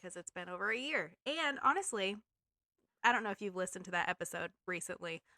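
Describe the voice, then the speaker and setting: A woman's voice: medium pitch, brisk, sharp tone, dry recording, studio quality. A woman in her thirties from Maine with a slight Maine accent, co-hosting a podcast in a chatty, filler-heavy way. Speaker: podcast co-host, real estate agent. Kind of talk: casual chat.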